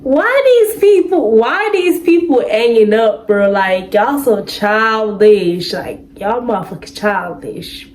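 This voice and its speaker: A woman's voice: fairly high pitch, animated, takes it slowly, few pauses, darkish tone, a little hiss or hum. A young woman from Jamaica, chatting bluntly into her phone for her vlog and swearing freely. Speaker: vlogger. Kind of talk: casual vlog and video-chat banter.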